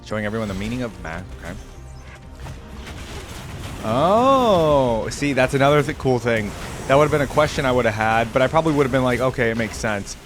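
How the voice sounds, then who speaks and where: A man's voice: mid-range voice, expressive, brisk, music in the background. A man giving casual gaming reaction commentary, reading aloud and commenting offhandedly as host.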